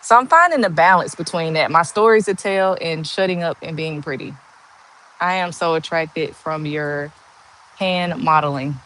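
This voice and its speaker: A woman in her forties with a monotone voice, chatting slowly and candidly on a livestream and answering viewers' comments. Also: deep voice, rough recording. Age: 40s. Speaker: livestream host. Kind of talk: casual live chat answering viewer comments.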